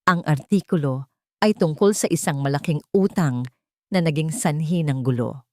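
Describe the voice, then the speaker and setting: A Filipino woman narrates a dramatic love story in a somewhat low voice. The recording is dry, with hardly any room sound. She delivers it as scripted storytelling. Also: moderate pace, dry recording.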